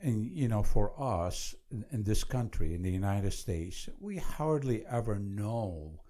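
Man, Iraqi accent, mellow lowish voice, explanatory, conversational book discussion on a podcast. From Iraq.

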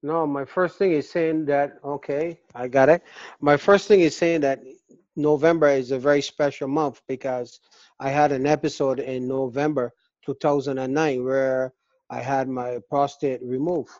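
An older man from Barbados with a Barbadian accent, telling his story in a casual interview, earnest and halting with repeated words. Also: medium pitch, steady tone, darkish tone, no echo. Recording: dull, dry lo-fi recording.

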